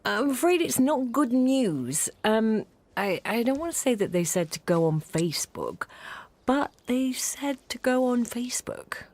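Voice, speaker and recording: A woman's voice: a little high, big pitch swings, a little slow, a little mellow. An actress playing an earnest, hesitant woman who speaks politely and halts with fillers, in a radio play. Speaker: local helper arranging refugee hosting.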